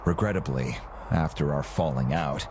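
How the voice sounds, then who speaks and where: A man playing a smooth, self-satisfied arms-dealer boss with grand ambitions, speaking in a theatrical, businesslike way in a game cutscene. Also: rumbling low, moderate pace, a little mellow, slight background noise. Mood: smooth, persuasive. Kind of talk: video game cutscene acting.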